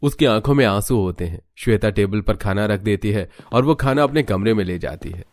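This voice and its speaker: A man's voice: fairly low pitch, lively intonation, brisk, a little mellow, no echo, clean studio sound. A man narrating a scripted family story in storybook style.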